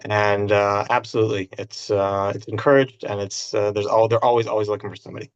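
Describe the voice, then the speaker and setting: Low-voiced man on a dull call line. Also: level tone, clean tone. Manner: halting, reads questions aloud then answers. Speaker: family doctor on a webinar panel. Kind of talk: answering questions on a panel.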